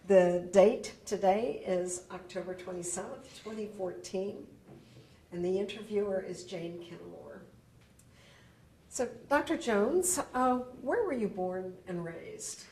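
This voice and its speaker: A woman's voice: medium pitch, slow, vocal fry, darkish tone, recorded from a distance, slight background noise. A woman conducting an oral history interview, asking polite, formal questions. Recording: echoey room, off-mic.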